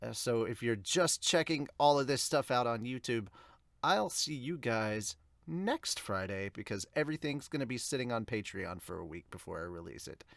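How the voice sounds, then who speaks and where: A man chats informally as a podcast host reviewing manga. His pitch is average for a man and he tends to ramble. The sound is very dry, with hardly any room in it. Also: lively intonation, normal speed, creaky, fairly bright tone, no echo. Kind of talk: casual chat to viewers.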